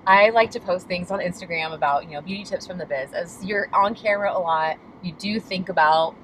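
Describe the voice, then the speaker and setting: A woman's voice: fairly low pitch, a little fast. A woman hosting a casual, chatty vlog interview with an encouraging, playful manner while riding in a car. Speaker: host. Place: inside a moving car. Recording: distant mic, clear vehicle road noise. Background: car driving noise.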